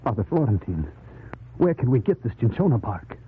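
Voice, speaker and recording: A man's voice: medium pitch, big pitch swings, normal speed, a little hiss or hum, lightly acted. An actor playing an impassioned, indignant young man of the Spanish colonies in a fervent 1940s radio drama style. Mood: anxious, grieving. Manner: fervent, declamatory. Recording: tinny, muffled old radio recording.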